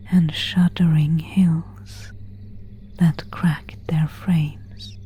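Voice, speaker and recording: Woman acting as female vampire, ASMR roleplay, breathy whisper, low-pitched, formal old-world manner, melancholy, extremely unhurried. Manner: slow, hushed, formal old-fashioned phrasing. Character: pale, elegant, melancholy female vampire of an ancient castle.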